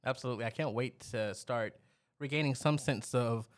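A man's voice: medium pitch, fairly quick. A young man hosts a casual podcast chat in an easy, upbeat way. He fills his sentences with a loose "like" and keeps his tone friendly. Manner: upbeat, casual, says "like" often. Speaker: podcast host.

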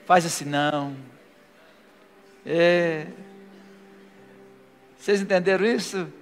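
A male pastor preaching a sermon to a live congregation and leading a prayer, his delivery rising to fervent declarations. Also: fairly high pitch. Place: church hall, live before a congregation. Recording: long hall reverb with faint music.

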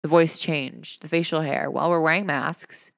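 A man speaking earnestly and conversationally in a personal reflective talk, his voice fairly high for a man, often asking rhetorical questions. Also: steady tone, normal speed, vocal fry, fairly bright tone, no room sound, in a large hall. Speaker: vlogger.

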